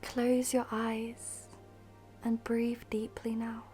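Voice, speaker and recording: A woman guiding a meditation in a soft, breathy, half-whispered voice, soothing and measured, with long pauses. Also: a little high, completely flat delivery. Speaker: meditation guide. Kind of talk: guided meditation, scripted narration. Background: music.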